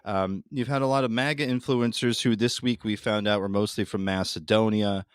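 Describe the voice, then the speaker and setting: Low-voiced man, dry close sound. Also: steady tone, normal speed, clean tone, dry recording. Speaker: podcast guest. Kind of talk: casual political commentary.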